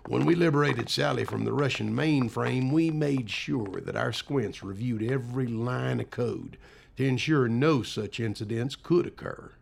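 Gravelly-voiced man playing a steady military general. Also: medium pitch, unhurried, a little hiss or hum. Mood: assured. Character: steady, loyal military general running a secret project. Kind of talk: audio drama acting.

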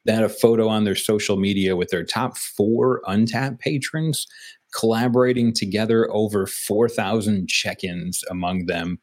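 Low-voiced male co-host, loose and chatty, full of fillers. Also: fairly even intonation, moderate pace. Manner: chatty, rambling, with fillers and restarts. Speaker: podcast co-host. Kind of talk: casual beer podcast chat.

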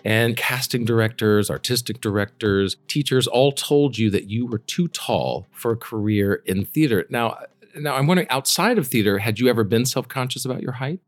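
A man hosting an interview, asking measured follow-up questions. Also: medium pitch, lively intonation. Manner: measured, attentive, asks follow-ups. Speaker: interviewer, host.

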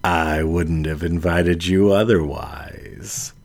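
A man performs a poised lioness matriarch from an audiobook. Her voice is very low and unhurried, every phrase evenly placed and commanding. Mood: composed, gracious. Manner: measured, even, deliberate. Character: composed lioness mystic, poised older dominant woman. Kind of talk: audiobook character dialogue.

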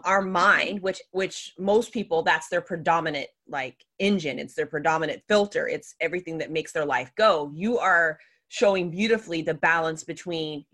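A woman's voice: fairly low pitch, fairly bright tone. A woman hosting a podcast interview, asking long, affirming, rambling questions. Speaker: podcast host. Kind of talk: casual interview talk.

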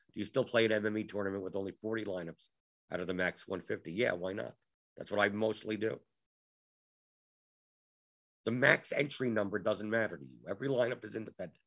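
Gravelly man with a worn-out voice. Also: lowish voice, fairly bright tone. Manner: exasperated, repeats himself. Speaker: show host. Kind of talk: live-stream Q&A chat.

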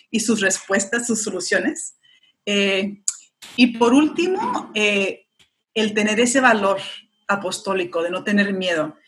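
A woman's voice: mid-range voice, fairly bright tone. Earnest woman speaking Spanish. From United States. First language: English. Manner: earnest and encouraging, urgent appeals. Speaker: pro-life activist guest. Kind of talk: interview answer, exhorting listeners.

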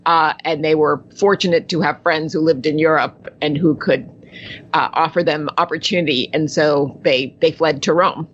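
A woman's voice: low voice, steady tone, moderate pace, slight background noise. A woman in her sixties, born in Italy and raised in the United States, telling her family story as an interview guest and laughing often. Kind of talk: conversational interview. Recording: slightly dull lo-fi audio.

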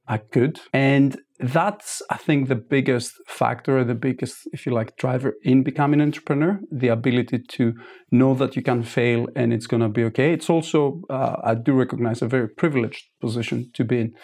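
A man in his forties speaks as a startup founder being interviewed. His answers come measured and reflective, and he often circles back to restart a phrase. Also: medium pitch, darkish tone. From Greece. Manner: measured, thoughtful, with frequent restarts.

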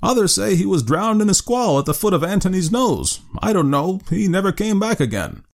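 A man's voice: high voice, big pitch swings, brisk, vocal fry, pitched above the performer's own voice, exaggerated voice. A man voicing an old man with a thin, piping voice. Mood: matter-of-fact.

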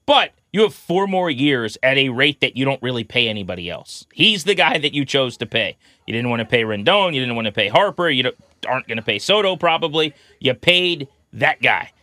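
Male podcast co-host for conversational sports analysis, mid-pitched, crisp bright tone, explains methodically. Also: big pitch swings, brisk, hardly any pauses, dry recording.

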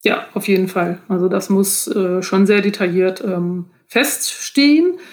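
A woman with a German accent speaks as an interview guest. Her pitch is average for a woman. She explains her craft as an author in an informal, conversational manner. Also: animated, a little slow, slight background noise. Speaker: guest, author of novels and audio dramas.

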